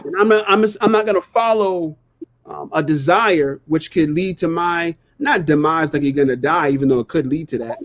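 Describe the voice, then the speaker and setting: A man's voice: fairly high pitch, normal speed. A man co-hosting a casual live stream, laying out his views earnestly and repeating points to drive them home. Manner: earnest, explanatory, builds points by repetition; frequent "you know what I'm saying". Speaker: co-host of a live talk stream.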